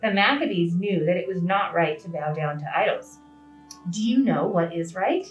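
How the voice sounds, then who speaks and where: A woman's voice: lowish voice, normal speed. A woman reading aloud to young children in a teacherly, questioning way. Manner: teacherly, asks simple questions. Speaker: preschool educator reading to children. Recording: distant, roomy, slightly dull, faint music.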